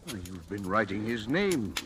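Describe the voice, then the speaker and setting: A concerned colleague questions earnestly in old radio-drama manner. His mid-range voice swings in animated intonation. The vintage recording is plainly noisy. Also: lowish voice, moderate pace. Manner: probing, earnest. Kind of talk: 1940s radio acting.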